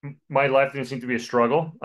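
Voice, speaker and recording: A man's voice: medium pitch, fairly even intonation, normal speed, dull audio. A man in his forties who grew up in Colombia, a pastor telling his story in a podcast interview, with many fillers. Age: 40s.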